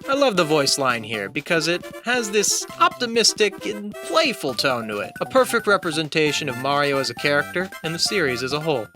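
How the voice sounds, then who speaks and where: A man's voice: a little high, big pitch swings, fairly quick, hardly any pauses. A young man reading scripted countdown commentary as a video host, with music behind him. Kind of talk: scripted narration, top-ten countdown commentary. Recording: music playing under the voice. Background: background music.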